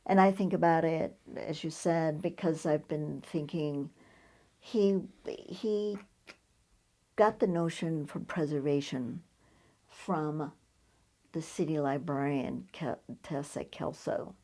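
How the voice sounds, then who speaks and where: A woman and former archivist, speaking casually in a measured, reflective way. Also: sits low, dark tone. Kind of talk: casual conversation.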